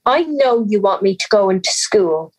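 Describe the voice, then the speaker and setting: A woman's voice: medium pitch, expressive, normal speed, natural voice, poor audio. A young woman playing an anxious, timid schoolgirl in an audio drama, hesitant and pleading. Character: anxious, timid schoolgirl afraid of being laughed at.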